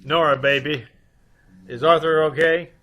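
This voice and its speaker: A man playing a brisk, suave, wisecracking private detective in an old-time radio comedy-mystery. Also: a little high, fairly even intonation, bright, forceful tone.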